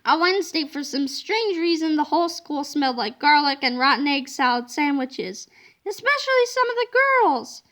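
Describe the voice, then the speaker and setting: A woman narrates a children's story with a very high, bright voice. Her delivery is expressive and emphatic, every phrase shaped for young listeners. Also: normal speed. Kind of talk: expressive children's book read-aloud.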